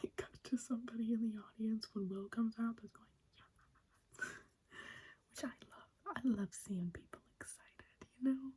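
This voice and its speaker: Brief: young woman, soft ASMR whisper, very breathy, mellow tone, chatty and eager. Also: on the high side, a little slow. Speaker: wrestling recap host. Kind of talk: ASMR whispered show recap.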